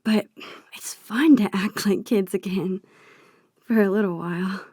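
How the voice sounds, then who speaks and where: A woman voicing a sweet, shy, nerdy teenage girl in a video game, with a soft, often breathy voice and a gentle, teasing, earnest manner. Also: medium pitch, measured pace, no echo, studio quality. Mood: gentle, wistful.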